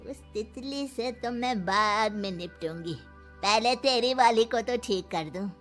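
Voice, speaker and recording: A high-pitched old grandmother from a village cartoon story, played by a woman. She is a domineering mother-in-law who scolds and complains bossily, with faint music underneath. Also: a little slow, fairly bright tone. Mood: disapproving, scheming.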